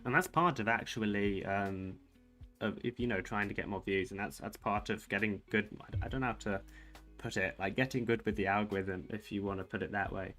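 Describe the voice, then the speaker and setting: Young male streamer, soft low-ish voice, meandering hesitant delivery over faint background music. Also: brisk. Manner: rambling, hesitant, many fillers and restarts; soft-spoken, called soothing by viewers. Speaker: streamer reading chat. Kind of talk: casual livestream chat while playing chess.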